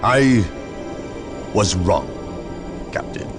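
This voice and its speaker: A man playing a shrewd, solemn inquisitor in a video game, speaking formally and gravely in measured phrases. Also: lowish voice, animated. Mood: solemn admission. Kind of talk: dramatic video game cutscene acting. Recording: music behind the voice.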